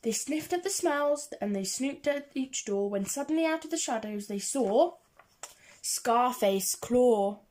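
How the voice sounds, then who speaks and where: A woman's voice: on the high side, expressive, measured pace, darkish tone. A woman reading a children's picture book aloud, with a rhythmic, singsong delivery of the rhyming verse. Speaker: reader of children's picture books. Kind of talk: storybook read-aloud.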